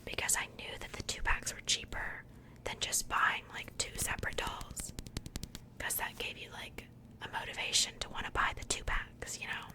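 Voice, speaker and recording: Woman doing asmr, all whispered and breathy, chatty and gentle, right up close on the mic. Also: normal speed. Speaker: host of an ASMR doll unboxing channel. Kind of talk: whispered ASMR, casual product chat.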